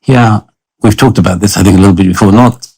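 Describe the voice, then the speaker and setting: A man's voice: fairly low pitch, fast, darkish tone, breathy edge. A man of about sixty with a London accent, reminiscing conversationally as an interview guest in an understated, plain-spoken way. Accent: London English. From London, England; born in Lebanon.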